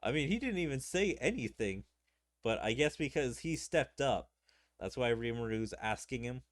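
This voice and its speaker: A man's voice: mid-range voice, animated, moderate pace. A man streaming a game, reading its dialogue aloud in a theatrical way and adding his own commentary. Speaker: gaming streamer.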